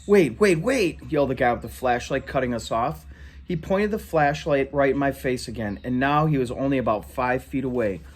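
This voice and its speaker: A man reading a story aloud in an animated way. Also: mid-range voice, fairly quick. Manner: animated, acts out dialogue in shouts. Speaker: reader. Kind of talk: read-aloud story narration.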